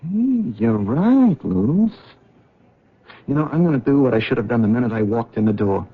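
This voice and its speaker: A man's voice: medium pitch, big pitch swings, moderate pace, slightly rough. An actor playing an honest, talkative male cab driver in a 1970s radio drama. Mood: insistent. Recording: dull old radio broadcast.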